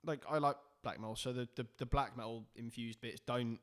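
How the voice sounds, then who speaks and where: A man's voice: medium pitch, fairly even intonation, a little fast. A man co-hosting a casual round-table album review, rambling and hesitant with many restarts and fillers. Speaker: podcast co-host.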